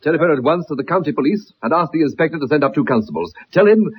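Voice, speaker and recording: Blustering old colonel played by an actor, muffled recording. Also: male voice, a little high, lively intonation, fast talker, slight background noise, natural voice. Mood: furious. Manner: blustering, imperious, formal. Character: irascible old colonel, blustering, commanding father. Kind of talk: 1960s radio drama acting.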